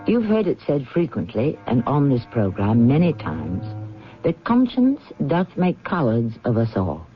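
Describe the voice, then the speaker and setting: A man's voice: medium pitch, huge pitch swings, unhurried. A man hosting a 1970s radio mystery, narrating in an urbane, wryly formal and theatrical way. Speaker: host. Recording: dull vintage radio recording, music behind.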